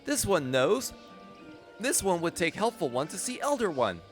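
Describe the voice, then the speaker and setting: A man putting on a high gentle fae creature. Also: very animated, moderate pace, faint music behind. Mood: grateful, earnest. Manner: speaks of itself as "this one", formal and childlike. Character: small grateful fae sylph creature, earnest and gentle.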